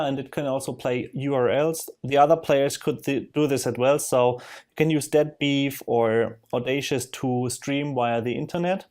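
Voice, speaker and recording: A man narrating a casual software screen demo in a halting way, thinking aloud as he goes. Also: mid-range voice. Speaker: software demonstrator. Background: music from audio players being demonstrated.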